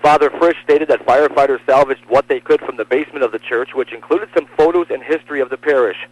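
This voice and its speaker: A man's voice: medium pitch, fast, no echo, lo-fi sound. An American man reading scripted radio news as a reporter and anchor.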